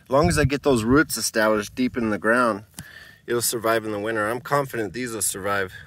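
A man's voice: on the low side, fairly quick, fairly bright tone. A man giving a casual tour of his dry desert garden, rambling and thinking aloud while filming on his phone outdoors. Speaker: home gardener.

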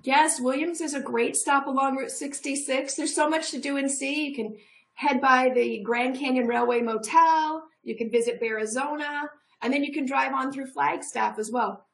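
A woman's voice: sits high, normal speed, vocal fry. A middle-aged woman from a tourism office, a guest on a TV show, talking upbeat and promotional over a video call from a room at home.

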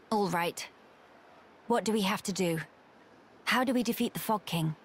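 An actress playing an earnest young noblewoman in a video game, speaking in formal, measured diction. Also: mid-range voice, slight background noise, close to an everyday voice.

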